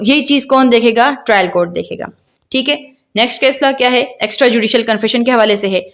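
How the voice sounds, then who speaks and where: Female law lecturer, Pakistani accent, higher-pitched voice, didactic delivery that reads passages and then explains them. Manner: didactic, repeats points and checks understanding with questions. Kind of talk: explanatory lecture, reading case law and paraphrasing it.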